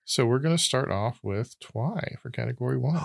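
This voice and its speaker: A man running a trivia quiz on a podcast, explaining the rules in a halting way with frequent restarts. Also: medium pitch, lively intonation, creaky, no room sound, clean studio sound. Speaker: quizmaster. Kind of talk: casual chat, explaining rules.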